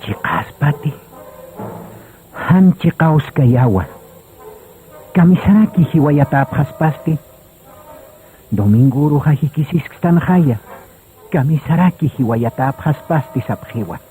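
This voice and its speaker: A man's voice: a little high, lively intonation, slow pace, long pauses, mellow, low-quality recording. A man narrating a radio drama in a solemn, scripted style.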